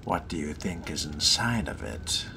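A man voicing a dutiful but privately scornful vampire fledgling in a game role-play, with a hushed, deliberate drawl. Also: a bass voice, sing-song, vocal fry, noisy background, exaggerated voice. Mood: casual, polite. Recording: music behind the voice.

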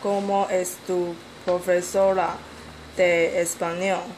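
A woman off camera reading interview questions slowly, with small stumbles. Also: lowish voice, slight background noise, low-quality recording. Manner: slow, flat reading with stumbles.

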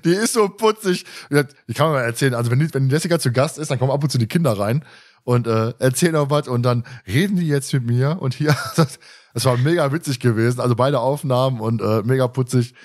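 A man's voice: medium pitch, a little fast. A man hosting a podcast in casual chat, joking and often chuckling as he talks. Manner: jokey, chuckles often while talking. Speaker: podcast host moderating the questions.

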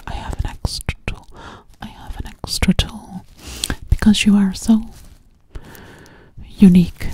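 A woman's voice: mid-range voice, dark tone, a little hiss or hum. A young woman voicing a sassy, bossy alien girl in an ASMR roleplay, whispering breathily up close and repeating short phrases insistently. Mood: focused, insistent. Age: young adult. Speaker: ASMR performer. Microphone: close to the mouth.

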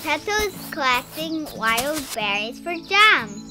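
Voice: high voice, very animated, slow, over music. A narrator telling a homemade farm cartoon in plain, simple words for kids.

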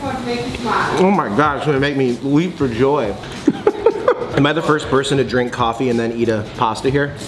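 A man in his forties vlogging in a bright coffee shop, excitable and gushing, laughing often as he tastes dishes. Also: mid-range voice, unhurried, pauses often. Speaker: food vlogger. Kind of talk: casual food review chat. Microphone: phone camera at arm's length.

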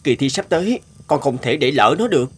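A man's voice: medium pitch, sing-song, a little fast, lightly acted. A man playing a kind, earnest poor young scholar in a cartoon fairy tale. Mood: tender, reassuring. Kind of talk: cartoon voice acting.

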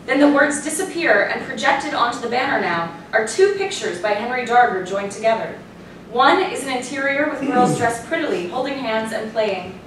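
In a very echoey room, a woman with a fairly high voice reads stage directions from some distance. Her delivery is scripted narration for a play reading. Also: expressive, normal speed, fairly bright tone. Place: small theatre, recorded from audience. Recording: very echoey room, distant mic, faint noise.

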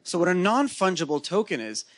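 A man's voice: sits high, a little fast. Joking man, native Hebrew speaker. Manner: joking, lively. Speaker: podcast host. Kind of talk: casual onstage interview. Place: conference stage before a live audience. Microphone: handheld mic.

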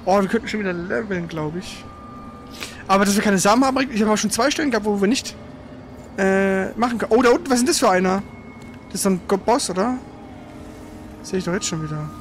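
A man giving casual, colloquial live commentary while playing a video game, prone to excitable exclamations. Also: high voice, quick pace, long pauses, slight background noise. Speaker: let's player. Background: loud video game sound.

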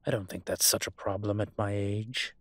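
A man's voice: lowish voice, steady tone, moderate pace, a bit bright, dry recording. A man playing a refined, centuries-old vampire in a roleplay audio drama, pompous, wry and teasing. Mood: haughty, defensive. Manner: pompous, wry, posh turns of phrase.

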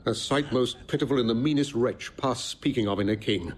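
An actor playing a courteous, sober gentleman attendant to a king, speaking formally in a Shakespearean audio drama. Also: mid-range voice, brisk, slight background noise, natural voice. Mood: respectful, pitying.

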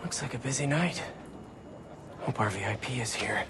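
An actor with an American accent playing a young, wisecracking, slightly awkward superhero in a video game cutscene. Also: male voice, medium pitch, expressive, normal speed, heavy background noise, close to an everyday voice. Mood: musing, playful. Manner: quippy, hesitant asides. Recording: loud music behind.

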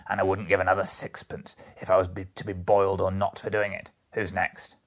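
A sly old rag-shop miser performed by a male reader, low and gravelly. He is folksy and jovial, wheedling with emphasis like a storybook rogue haggling over pennies. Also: little pitch movement, brisk. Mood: grudging, sly. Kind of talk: Victorian storybook character voice.

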